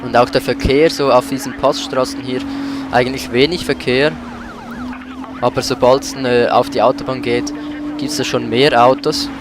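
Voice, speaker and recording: A man's voice: mid-range voice, flat delivery, moderate pace, music in the background. A man doing casual let's play commentary as host, with a somewhat hesitant delivery, searching for his words.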